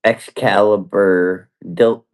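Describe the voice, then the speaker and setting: Male, fairly low voice, very unhurried, casual and halting as he works out wording aloud. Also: fairly even intonation. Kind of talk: casual chat, dictating a story prompt.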